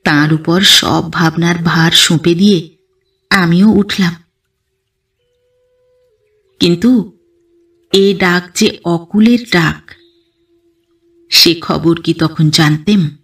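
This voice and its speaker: Female audio-story narrator, unhurried and expressive literary first-person reading, recorded slightly off the mic. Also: on the low side, far from the mic.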